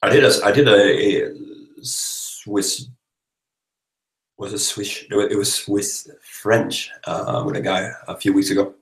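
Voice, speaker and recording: A man with a Swedish accent rambles casually to livestream viewers, sounding tired. His voice sits fairly low with a lot of fry at the edges. The room around him is clearly echoey. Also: steady tone, measured pace. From Sweden. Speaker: livestream host. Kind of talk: casual livestream chat, reading and answering comments. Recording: roomy, echoey livestream microphone.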